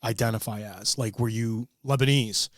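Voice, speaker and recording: A man's voice: fairly low pitch, steady tone, moderate pace, no echo, studio quality. A man co-hosting a podcast in casual banter, informal and blunt, swearing freely. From Greek American family. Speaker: podcast co-host.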